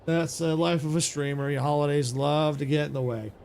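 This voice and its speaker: A man streamer chatting casually as he wraps up his stream, rambling with many restarts, his voice getting raspy. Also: a little high, steady tone, a little fast, a little mellow, slight background noise. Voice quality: gravelly. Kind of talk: casual stream sign-off chat.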